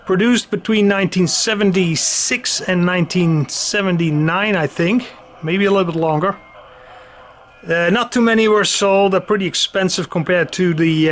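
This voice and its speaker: A man's voice: high-pitched, fairly bright tone. A man giving a casual, matter-of-fact hands-on demonstration, explaining as he goes. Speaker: presenter demonstrating vintage radio gear. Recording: constant radio static and hiss behind voice. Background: CB radio noise and chatter.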